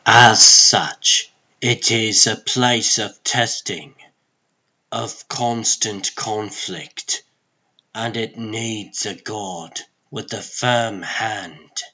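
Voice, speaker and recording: Man playing a grave, very slow-spoken sorcerer. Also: fairly low pitch, fairly even intonation, pushed and bright, full character voice. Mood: ominous. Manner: grave, portentous, deliberate. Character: solemn, world-weary adult male sorcerer serving a god. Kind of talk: comic dub dramatic monologue.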